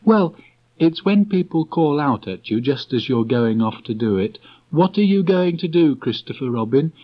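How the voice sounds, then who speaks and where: A man voicing a kindly, earnest young boy in a storybook, speaking gently and simply. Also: on the high side, animated, moderate pace, pitched above the performer's own voice. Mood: gentle, earnest. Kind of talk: storybook character reading. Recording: dull restored cassette tape.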